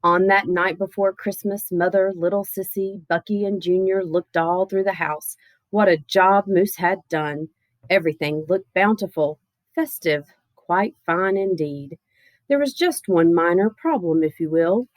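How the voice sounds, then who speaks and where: A woman reading a children's picture book aloud in a playful, expressive way with theatrical emphasis. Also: lowish voice, a little slow, no room sound. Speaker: storybook reader.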